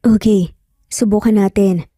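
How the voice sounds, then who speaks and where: A woman's voice: medium pitch, steady tone, a little slow, dark tone, no room sound, studio quality, close to an everyday voice. A woman voicing a reluctant, easily flustered young woman falling in love in a narrated romance story. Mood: hesitant.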